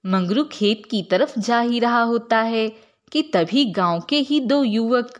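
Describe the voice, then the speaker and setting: A woman's voice: fairly high pitch, slow. A woman giving scripted storytelling narration as the narrator of a tale.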